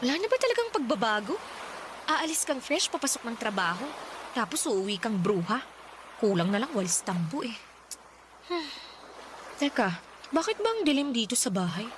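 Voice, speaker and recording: A woman's voice: fairly high pitch, sing-song, moderate pace, pauses often. A woman with a Filipino accent acting a tired, exasperated young working woman in a radio drama, sarcastic and scolding. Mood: weary grumbling. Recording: noisy ambient background.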